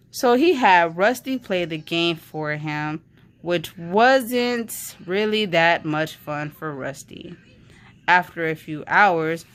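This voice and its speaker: Slow, low-voiced woman reading a story. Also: fairly bright tone. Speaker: reader of a children's book. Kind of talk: read-aloud storytelling of a children's book.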